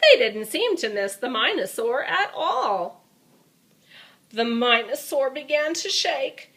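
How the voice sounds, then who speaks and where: A woman reads a picture book aloud for a young child. Her voice is bright and fairly high, swinging widely in pitch, with dramatic emphasis and chatty asides. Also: big pitch swings, slow. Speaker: storybook reader. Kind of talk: expressive children's storybook read-aloud.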